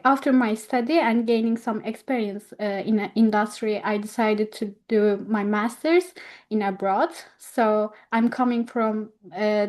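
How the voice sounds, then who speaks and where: A young woman with a Turkish accent speaks as a guest in an interview. Her voice sits at an average pitch, and her non-native English comes in halting phrases as she looks for the right word. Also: a little mellow. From Turkey. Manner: hesitant, halting phrasing with many word searches. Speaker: guest speaker, education technology professional. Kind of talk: personal account in an online interview.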